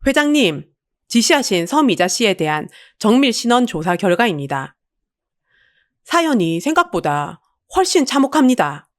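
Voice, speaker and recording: A woman's voice: a little high, sing-song, moderate pace. A woman voicing a deferential male chief secretary who reports in a formal, careful, grave manner.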